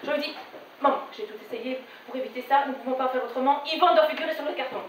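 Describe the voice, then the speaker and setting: Exasperated bride, played by an amateur actress: high-pitched, emphatic stage delivery, picked up from far off. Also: female voice, fast talker, natural voice. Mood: weary. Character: exasperated bride recounting family wedding-invitation squabbles. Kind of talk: theatrical stage monologue in French. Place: theatre stage at a dress rehearsal. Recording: echoey stage recorded from afar, faint noise.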